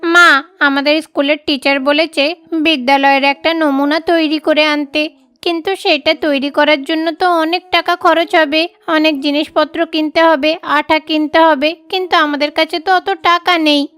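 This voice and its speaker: A woman's voice: high voice, fairly even intonation, moderate pace, a bit bright. A woman voicing an earnest young sparrow schoolgirl in a children's cartoon. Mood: worried.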